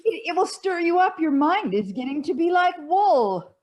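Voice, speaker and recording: An actress playing a bossy, grand older society woman, declaiming emphatically and a little haltingly in a stage comedy over a video call. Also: extremely high pitch, big pitch swings, darkish tone. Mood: indignant, preachy.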